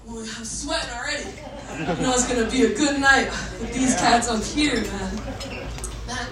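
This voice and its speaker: A woman in her twenties from Massachusetts, a saxophonist bandleader chatting excitedly with a club crowd between songs, laughing often. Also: on the high side, moderate pace. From Massachusetts, USA. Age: 20s. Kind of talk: live stage banter between songs. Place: live music club on stage. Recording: echoey club, distant mic, loud crowd and noise.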